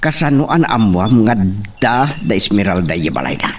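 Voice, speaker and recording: A man's voice: fairly low pitch, sing-song, normal speed, recorded from a distance, slight background noise. A man playing an angry, threatening young rich master in an Ilocano audio drama. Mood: stern, suspicious. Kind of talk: Ilocano radio-style audio drama acting.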